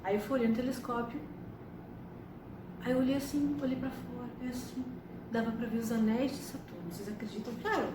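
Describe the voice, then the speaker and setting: A woman's voice: a little high, fairly even intonation, a little fast. A woman, telling her own life story to camera in a chatty way, with frequent tag questions. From Argentina. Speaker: astrologer and psychotherapist. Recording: echoey room from a distance with background noise.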